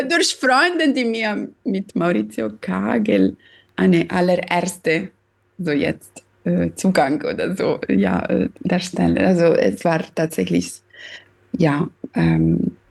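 A pianist from Sicily, Italy, a woman whose first language is Italian, speaking haltingly with many fillers while joining an interview by video call from home. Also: fairly low pitch, gravelly, husky. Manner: hesitant, searching for words, many fillers. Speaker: guest pianist. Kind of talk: casual interview chat.

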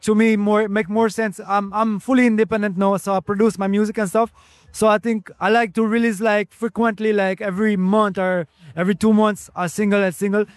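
A man's voice: sits high, little pitch movement, fairly quick, no room sound. A man with a French accent giving a casual interview as a guest musician, polite and grateful. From France. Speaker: interview guest, reggae singer.

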